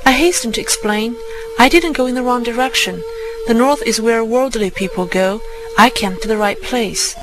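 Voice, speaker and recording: A woman narrates a storybook in the first person, reading from a script. Her voice is on the high side. Music runs clearly behind her words. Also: normal speed, low-quality recording.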